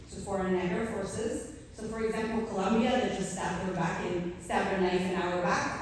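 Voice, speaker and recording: A woman's voice: medium pitch, darkish tone, slight background noise. A Canadian woman in her forties giving an earnest, conversational speech to a community audience, with filler words. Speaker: award recipient giving a speech. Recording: echoey hall, voice from far off.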